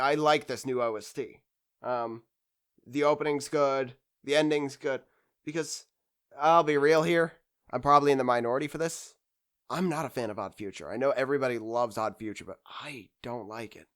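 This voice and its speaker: A man's voice: on the high side, fairly bright tone. A man hosting a discussion video in a casual, unscripted way, rambling and hesitating as he gathers his thoughts. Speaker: anime discussion video host. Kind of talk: casual unscripted fan commentary.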